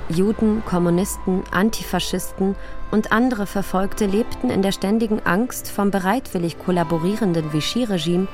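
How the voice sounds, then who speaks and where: A woman's voice: medium pitch, moderate pace, a little mellow, faint music behind. Woman narrating a radio documentary. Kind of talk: scripted narration.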